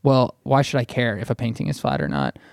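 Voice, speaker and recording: A man's voice: medium pitch, very animated, fairly quick, vocal fry, dry recording, studio quality. A man hosting a podcast in a casual, opinionated way, discussing art informally. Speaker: podcast host.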